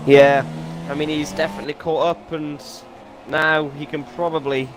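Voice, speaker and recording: A man co-commentating a racing game league, a little raspy from a cough and hesitant with fillers. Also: a little high, flat delivery, moderate pace. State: has a cough, slightly raspy. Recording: noisy game audio behind. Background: racing game engine noise.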